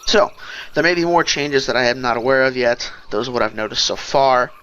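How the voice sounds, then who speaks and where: A man's voice: medium pitch, fairly quick, sharp tone, dull audio, slight background noise. A man giving casual, rambling gameplay commentary, thinking aloud as he goes. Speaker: let's play host. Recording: game music behind the voice. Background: fantasy game music and sounds.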